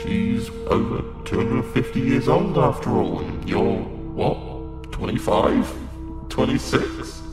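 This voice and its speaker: A man's voice: medium pitch, very animated, takes it slowly, vocal fry, full character voice. A man playing a sadistic otherworldly villain who feeds on mortals in an audio drama, mock-polite and taunting as he draws out his words. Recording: echoing voice under loud music.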